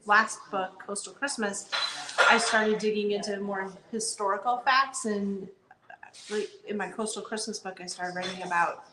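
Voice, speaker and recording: A woman's voice: medium pitch, steady tone, normal speed. A woman from the Midwest hosting a live interview, friendly and curious, asking lots of questions. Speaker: show host. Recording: echoey room with crowd noise behind.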